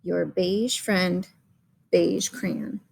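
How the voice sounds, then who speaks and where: A woman's voice: on the low side, steady tone, very slow, gravelly, darkish tone, breathy edge, full character voice. A woman voicing a sad but proud, resentful beige crayon with emphatic grievance, in a children's picture book read-aloud. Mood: aggrieved. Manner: aggrieved, emphatic.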